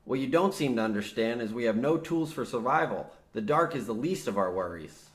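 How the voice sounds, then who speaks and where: A man's voice: mid-range voice, lively intonation, brisk, natural voice. An actor playing an exasperated, practical younger man, serious and matter-of-fact, as the straight man in a comic old-time radio horror play. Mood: dry, impatient. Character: exasperated, practical younger man, war veteran trapped underground.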